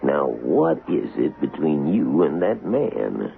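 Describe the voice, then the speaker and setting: Deep, gravelly frontier sheriff. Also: male voice, huge pitch swings, slow, heavily acted. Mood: urgent. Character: plain-spoken, folksy frontier sheriff, firm and dutiful. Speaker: actor. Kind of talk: 1970s radio western acting. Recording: tinny, noisy vintage radio broadcast.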